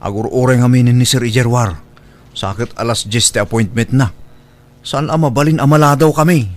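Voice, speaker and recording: A man's voice: medium pitch, normal speed, close to an everyday voice. A man acting in an Ilocano radio drama as an easygoing household driver, with an Ilocano accent. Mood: casual. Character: easygoing male household driver, helpful and deferential. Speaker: drama actor.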